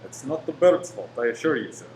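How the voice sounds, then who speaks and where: A man's voice: medium pitch, expressive, fairly quick, a little mellow, close to an everyday voice. A man acting in a radio play as a polite, reassuring shop professor who is secretly a bomb-making conspirator. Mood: obliging. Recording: roomy echo with faint noise.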